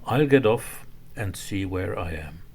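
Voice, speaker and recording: A man voicing a curious, kindly young hippo in a children's story, earnest and gently questioning. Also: low voice, animated, unhurried, gravelly, exaggerated voice.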